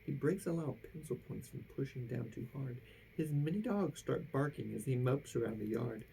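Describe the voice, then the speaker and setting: Animated, creaky-voiced woman reading a storybook. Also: very deep voice, slight background noise, low-quality recording. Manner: theatrical, drawn-out emphasis on phrase endings. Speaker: storybook reader. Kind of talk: expressive read-aloud of a children's rhyming picture book.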